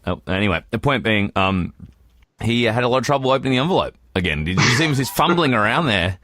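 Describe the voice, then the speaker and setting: A man's voice: mid-range voice, animated, fast talker, a bit bright, no echo, clean studio sound. An Australian man co-hosting a podcast in casual, joking banter that often wanders. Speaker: podcast co-host, actor. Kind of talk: casual banter about films.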